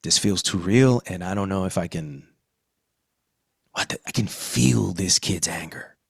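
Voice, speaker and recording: A man hosting a podcast, discussing and reviewing in a conversational, emphatic way with deliberate phrasing. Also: deep voice, gravelly, husky, no echo, clean studio sound. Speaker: podcast host.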